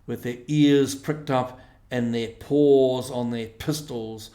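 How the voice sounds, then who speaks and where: In a roomy, echoing space, a man reads a storybook aloud. His delivery is slow and measured, with expressive storytelling and a medium-pitched voice. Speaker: audiobook reader. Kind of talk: scripted storybook narration.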